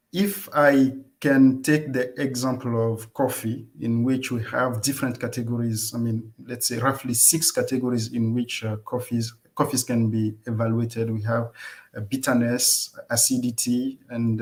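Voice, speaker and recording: Male guest, mid pitch, halting explainer full of uhs and restarts. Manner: halting, many uhs and self-corrections. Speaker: guest researcher. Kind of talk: explaining in an interview.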